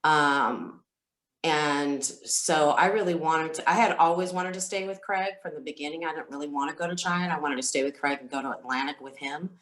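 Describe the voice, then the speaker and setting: A woman who is a singer telling stories as an interview guest, speaking casually and candidly in a halting, reflective way. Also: sits low, a bit bright. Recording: roomy, echoey sound.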